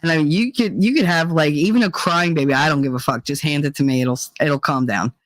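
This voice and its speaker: A middle-aged woman with a deep, chesty voice, hosting a livestream in casual, profane chat while reading viewer comments. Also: fast talker, no room sound. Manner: chatty and profane, rambling with many fillers. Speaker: livestream host.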